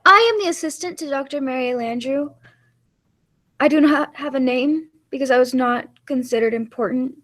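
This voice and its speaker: A woman's voice: high voice, normal speed, a bit bright. A woman playing a solemn, self-important scientist's assistant, stiff and dramatic, in a radio play. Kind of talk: melodramatic radio play acting.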